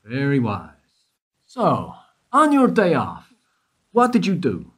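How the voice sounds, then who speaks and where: Man doing a persistent investigator, husky voice, lively pitch, needling questions, radio play. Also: takes it slowly, full character voice. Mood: probing. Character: probing male detective questioning a guard. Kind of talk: amateur radio play acting.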